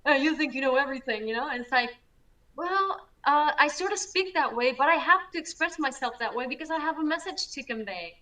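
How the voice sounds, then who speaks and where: High, bright, emphatic woman co-host. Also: fairly quick, recorded from a distance, low-quality recording. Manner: energetic, emphatic, self-described loud. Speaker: co-host, astrologer. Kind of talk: casual spiritual chat.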